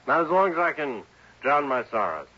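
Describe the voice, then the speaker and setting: Casting: rich young husband who drinks too much, anxious, husky, stammering, muffled vintage radio sound. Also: male voice, medium pitch, very animated, normal speed, bright, forceful tone, heavily acted. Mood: tipsy, evasive. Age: young adult. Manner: hesitant, stammering, agitated. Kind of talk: 1950s-60s radio drama acting. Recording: dull old radio recording.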